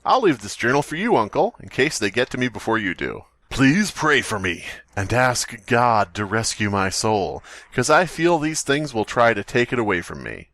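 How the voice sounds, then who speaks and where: A man reading aloud as a frightened young man writing a journal, in a plain, steady reading voice. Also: mid-range voice, moderate pace, hardly any pauses, no echo. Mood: fearful.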